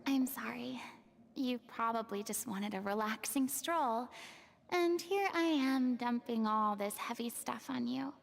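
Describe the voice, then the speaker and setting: A woman playing a remorseful, fragile young former false god in a video game, speaking earnestly with breathy hesitation. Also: a little high, unhurried. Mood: sorrowful. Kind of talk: dramatic video game dialogue. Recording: music playing behind the voice. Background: game music.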